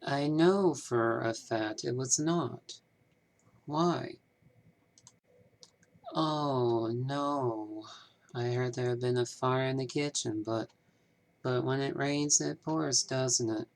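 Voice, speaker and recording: A man voicing a polite, businesslike 1930s small-town banker from a mystery game, with measured, formal phrasing. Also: mid-range voice, gravelly. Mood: regretful. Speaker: amateur voice actor. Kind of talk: scripted game character dialogue.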